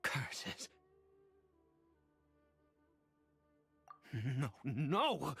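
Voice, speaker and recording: Husky, theatrical cursed immortal. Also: male voice, mid-range voice, sing-song, fairly bright tone, faint music behind, heavily acted. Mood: restless, urgent. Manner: halting, theatrical, swinging between meek and manic. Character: weary, unstable, cursed immortal man, bitter toward gods. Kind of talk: video game character acting.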